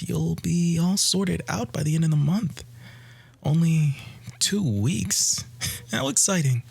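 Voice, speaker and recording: A man playing an outwardly kind, quietly manipulative doctor in an ASMR roleplay, in a breathy voice that often drops to a whisper. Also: mid-range voice, exaggerated voice. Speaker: audio roleplay voice actor. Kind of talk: ASMR roleplay, soft intimate acting.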